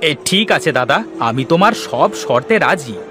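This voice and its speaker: A man's voice: a little high, expressive, moderate pace, background noise, natural voice. A man voicing a scheming, spiteful village fruit seller in a Bengali cartoon folktale, exclamatory, over music. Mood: wheedling. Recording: music behind the voice.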